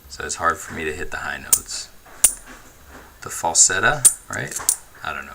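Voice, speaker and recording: A man hosting a livestream, chatting casually and reading viewer comments in a relaxed, easygoing way, laughing often and thinking aloud with fillers. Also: low-pitched, moderate pace, creaky, background noise. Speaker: livestream host.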